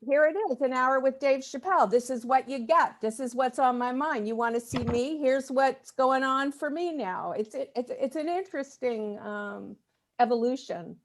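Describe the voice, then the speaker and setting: A woman chatting casually in a group discussion, halting and repeating words as she works out her thoughts. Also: high voice. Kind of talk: casual video-call chat.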